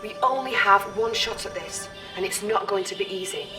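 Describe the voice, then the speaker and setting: A woman's voice: mid-range voice, fairly quick, close to an everyday voice. Game briefing officer, actress: earnest, approachable female lieutenant, slightly off-mic over music. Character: earnest, friendly young female military lieutenant. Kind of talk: video game briefing acting. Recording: slightly distant, music behind.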